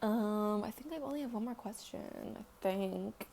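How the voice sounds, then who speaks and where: A woman's voice: normal speed, warm tone. A young woman who sings, answering fan questions in a loose, rambling way full of fillers and casual swearing. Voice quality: deeper singing voice. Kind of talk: casual Q&A chat.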